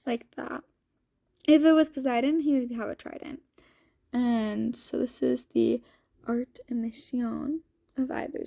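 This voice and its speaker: A woman's voice: fairly high pitch, gravelly, mellow, dry recording, studio quality. A young woman with a sniffly cold, chatting informally and thinking aloud as she presents a student vlog. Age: young adult. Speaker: student vlogger. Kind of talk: casual chatty vlog walkthrough.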